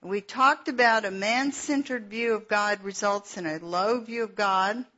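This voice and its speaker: A woman's voice: mid-range voice, a little slow, bright, forceful tone. A middle-aged woman with a Southern Georgia accent, teaching a Bible session in a folksy, chatty way and laughing at herself as she tells stories. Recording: dull lo-fi recording.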